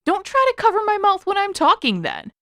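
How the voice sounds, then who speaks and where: A woman voicing a brash, defensive teenage boy who protests loudly and excitably in a story reading. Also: very high-pitched, a little fast, dry recording, clean studio sound, pitched above the performer's own voice, full character voice. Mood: indignant.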